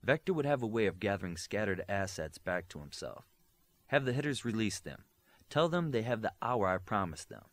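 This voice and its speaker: A commanding superhero leader, read by a man for an audiobook, speaks in terse, decisive lines. The pitch moves widely for emphasis. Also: expressive, brisk, lightly acted.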